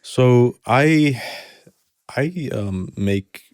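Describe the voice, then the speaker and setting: A man's voice: medium pitch, expressive, drawn-out words, a little mellow, dry recording, clean studio sound. A young man with a hybrid accent mixing Arabic, New Zealand and American sounds, talking casually and rambling in an interview with many restarts. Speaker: hip hop artist, guest.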